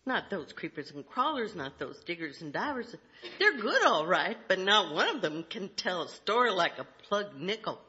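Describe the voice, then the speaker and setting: A woman's voice: lowish voice, big pitch swings, moderate pace, pushed and bright. A woman voicing a motherly, plainspoken Southern creator figure from a folk tale, homespun, emphatic and firm. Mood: lonely, wistful. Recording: dull, lo-fi live recording.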